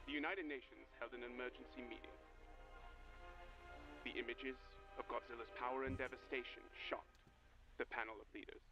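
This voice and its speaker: A man's voice: medium pitch, steady tone, a little fast, long pauses. A man reading a scripted mock-documentary horror narration in a solemn, dramatic storytelling way. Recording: dull lo-fi analog-style radio sound. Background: clear music under the voice.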